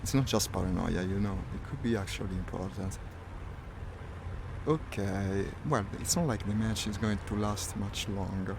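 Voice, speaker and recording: A man with a fairly low, gravelly voice gives casual live commentary on a flight game. He thinks aloud in a wry, understated way, as a streamer would. Clear background noise, like a vehicle engine, runs behind him. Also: moderate pace.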